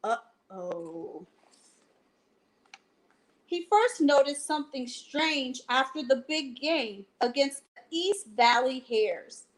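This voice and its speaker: A woman hosting a bedtime storytime, addressing children directly and reading a picture book aloud with deliberate phrasing. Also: high-pitched, expressive, poor audio. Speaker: library storytime host and reader. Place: at home, indoors.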